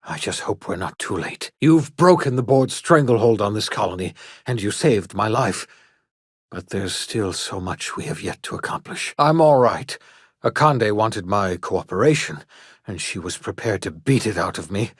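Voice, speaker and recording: An actor playing an eccentric elderly scientist and rebel in a video game, earnest and theatrical, with formal, old-fashioned, emphatic phrasing. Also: male voice, fairly low pitch, lively intonation, moderate pace, slightly rough, dry recording, studio quality. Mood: weary, relieved. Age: older. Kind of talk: video game character dialogue.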